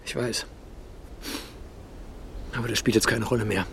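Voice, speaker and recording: An actor playing an anguished, cowed writer in an audio drama, speaking in a halting, emotionally strained way. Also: male voice, fairly low pitch, fairly even intonation, a bit bright, slight background noise, lightly acted. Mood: resigned, pleading. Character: anguished, cowed male writer defying a bully.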